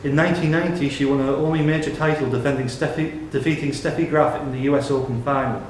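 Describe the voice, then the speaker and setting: A man's voice: on the high side, steady tone, quick pace, a little hiss or hum. A man acting as quizmaster, reading out questions in a scripted, question-and-answer style. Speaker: quiz question reader and host. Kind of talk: reading quiz questions aloud. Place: roomy pub during a quiz tournament. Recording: echoey pub room with crowd chatter.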